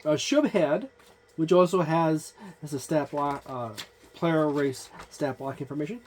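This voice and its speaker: A reviewer giving a casual page-by-page overview of a game book, listing entries in a halting way with frequent fillers and restarts. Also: mid-range voice, slight background noise. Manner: offhand, informal wording.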